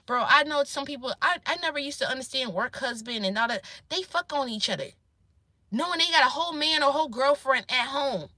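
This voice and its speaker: A woman with a squeaky voice giving blunt, profane life advice in a casual monologue to her viewers. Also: animated, a little fast, sharp tone, no echo. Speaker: self-employed vlogger giving life advice.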